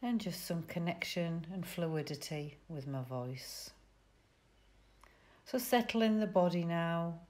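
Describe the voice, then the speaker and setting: Very slow, low-pitched woman guiding relaxation. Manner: soothing, unhurried instruction with pauses. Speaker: yoga nidra teacher. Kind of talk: guided relaxation meditation.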